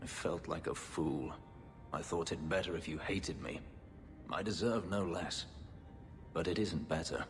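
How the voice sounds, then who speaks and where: A man playing a brooding, bitter freed slave warrior in a video game, speaking in a solemn, measured way. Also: low-pitched, soft background music. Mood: remorseful, tender. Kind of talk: dramatic video game acting. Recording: long hall reverb, background noise.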